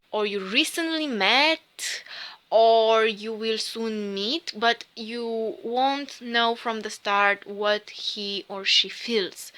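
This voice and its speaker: A woman with a Romanian accent speaks slowly and dreamily, her voice bright and smooth. She muses her way through a rune reading in English, her second language. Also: mid-range voice. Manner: slow, musing, dreamy. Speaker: tarot reader.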